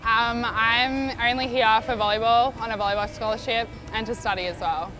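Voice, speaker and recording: A young woman from Australia, a student athlete, answers casually and often opens with "um". Her voice sits fairly high. Loud music plays under her words. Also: fairly even intonation, normal speed, poor audio. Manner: casual, starts with "um". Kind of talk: interview answers.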